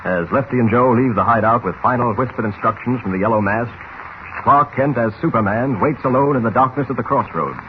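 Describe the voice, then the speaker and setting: A man's voice: medium pitch, slight background noise, natural voice. Man as dramatic old radio narrator. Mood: suspenseful. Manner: dramatic, measured delivery. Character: solemn male radio serial announcer setting suspenseful scenes. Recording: muffled old radio recording.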